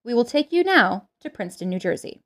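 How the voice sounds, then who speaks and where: A woman's voice: on the high side, big pitch swings, fairly quick, fairly bright tone. Woman acting formal radio news announcer. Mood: formal, factual. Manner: formal, factual. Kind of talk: 1930s radio newsreading, acted.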